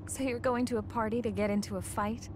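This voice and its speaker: An actress playing a gentle, gently teasing young wife and mother in a video game cutscene. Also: a little high, fairly even intonation, quick pace, slight background noise, lightly acted. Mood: wry concern.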